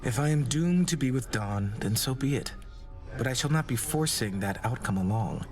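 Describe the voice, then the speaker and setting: An actor playing a courtly, melancholy male god of doom in a video game, speaking formally and with gentle devotion. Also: mid-range voice, lively intonation, normal speed, faint music behind, lightly acted. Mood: solemn, resigned. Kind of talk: scripted video game romance dialogue.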